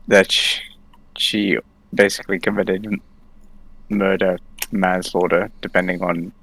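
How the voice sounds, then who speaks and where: A man's voice: sits low, fairly bright tone, no room sound, low-quality recording. A man giving his thoughts on a story in a casual talk, stammering and restarting as he thinks. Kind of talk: casual discussion.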